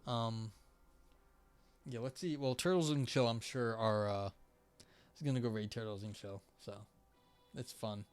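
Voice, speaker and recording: A young man chatting casually on a live stream, rambling with many fillers and restarts, his voice starting to go hoarse. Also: medium pitch, measured pace, long pauses, soft background music. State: voice going hoarse.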